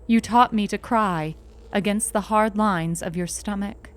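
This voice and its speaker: A woman narrating a short story in an intimate first person, with a measured, tender, dramatic delivery. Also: mid-range voice, smooth.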